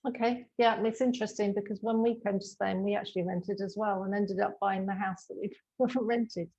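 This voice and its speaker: Woman host doing a relaxed interview, mellow voice, kinda dull lo-fi audio. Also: medium pitch, fairly quick. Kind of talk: informal interview hosting. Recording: dull, muffled lo-fi sound.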